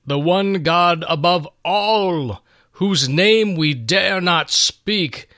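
A man voicing a proud, awestruck ancient king who proclaims his decrees in a grand, deliberate manner in a dramatic Bible story. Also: sits high, animated, bright, forceful tone, no room sound, studio quality, voice pushed up, heavily acted. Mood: solemn, awed.

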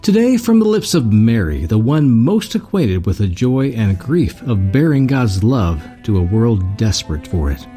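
Mellow male radio host over faint music. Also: fairly low pitch, very animated, moderate pace, few pauses. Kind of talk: scripted radio introduction. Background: music under the voice.

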